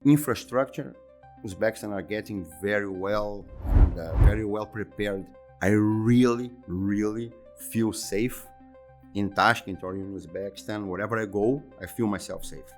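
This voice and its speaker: Man, Brazilian Portuguese accent, fifties, fairly low voice, halting, repeats himself, interview guest. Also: a little mellow, faint music behind. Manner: halting, repeats words and restarts phrases. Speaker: interview guest, exhibition center executive. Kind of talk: conversational interview answers.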